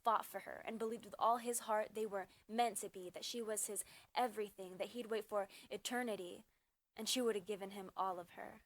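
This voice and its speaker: A woman reciting slam poetry as a spoken-word poet, with a dramatic, emphatic delivery in short measured phrases. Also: mid-range voice, fairly even intonation.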